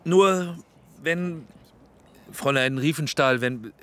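A hesitant, tactful film director. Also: male voice, fairly high pitch, a bit bright. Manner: hesitant, diplomatic, halting. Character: careful middle-aged male film director, cautious and tactful. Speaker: dialogue director on set. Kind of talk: radio drama acting.

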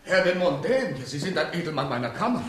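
A man's voice: on the high side, very animated, brisk, noisy background. An actor playing an imperious, mistrustful, weary king in a German radio drama, speaking curtly and with command. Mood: uneasy. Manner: curt, commanding.

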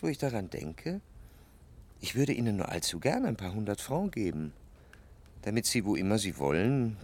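An actor playing a jealous, introspective gentleman who narrates a literary radio drama in a reflective, intimate way, tender yet analytical. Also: fairly low pitch.

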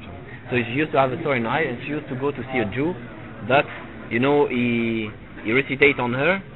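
A middle-aged man teaching a religious lesson to a live audience, halting and repeating himself and often checking with "you see?". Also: mid-range voice. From Tunisia. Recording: phone-like lo-fi recording with crowd noise. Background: listeners gathered in the room.

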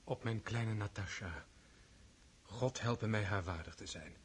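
A man's voice: fairly low pitch, a little slow, slight background noise, close to an everyday voice. An actor playing an earnest, lovestruck prince in his thirties in a radio play, fervent and sometimes hesitant. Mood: tender, fervent.

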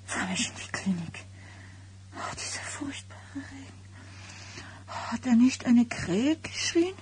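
A pregnant woman in labour, frightened and feverish. She speaks slowly, slipping into whispers, with swings of pitch. It is haunted, halting radio-drama delivery full of foreboding. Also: sing-song, darkish tone. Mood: anxious, feverish. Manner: breathy whispering, halting, ominous. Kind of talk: German radio drama acting. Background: thunderstorm.

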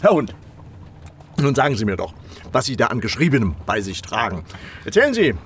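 Elderly gentleman councillor played by a man, probing and theatrical, very lively delivery, for radio drama. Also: mid-range voice, fairly quick, soft background music, close to an everyday voice. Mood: genial, probing. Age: older. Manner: theatrical, probing, with muttered asides. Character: elderly inquisitive privy councillor, gentleman traveller of wine and carriages. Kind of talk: German radio play acting.

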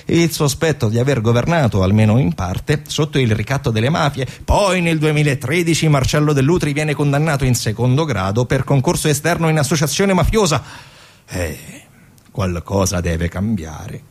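A man performing a theatrical narrative monologue, with dramatic pauses, rhetorical questions and conversational asides. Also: medium pitch, animated. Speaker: stage actor and narrator.

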